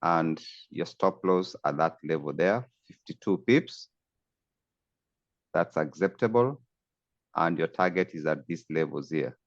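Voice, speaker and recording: Man from Kenya, very low voice, steady explanatory tone, muffled call audio. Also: measured pace, long pauses. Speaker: trading coach. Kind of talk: screen-share trading tutorial. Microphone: video call.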